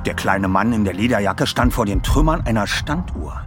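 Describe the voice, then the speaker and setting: A man's voice: fairly low pitch, animated, moderate pace, over music. A man presenting and narrating in a scripted style as a podcast host and reader.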